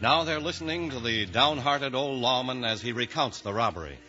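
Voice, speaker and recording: A man's voice: mid-range voice, moderate pace, bright, forceful tone, a little hiss or hum. A man reading scripted narration for an old western radio serial. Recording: dull vintage radio recording over music.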